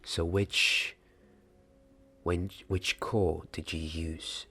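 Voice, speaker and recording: A young man in an ASMR roleplay playing a gentle, patient wand maker mentoring an apprentice, speaking softly and breathily, at times in a whisper, with hesitant, deliberate phrasing. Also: low voice, flat delivery, full character voice. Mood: curious, approving. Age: young adult. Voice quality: soft, breathy, partly whispered. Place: small dim indoor room. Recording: clear background noise and ambience.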